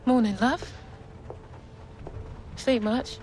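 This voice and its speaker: A woman's voice: fairly high pitch, steady tone, drawn-out words. A woman playing a caring but firm mother in a video game cutscene, speaking over music. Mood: gentle. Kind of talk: cinematic game cutscene acting.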